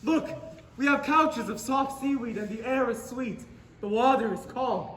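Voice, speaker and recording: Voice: mid-range voice, a little slow, fairly bright tone, lightly acted. An actor playing an eager, hopeful sailor from Greek myth, speaking in a projected, earnest stage style. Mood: hopeful, cheering. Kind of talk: theatrical stage dialogue. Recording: echoey noisy stage, crowd behind.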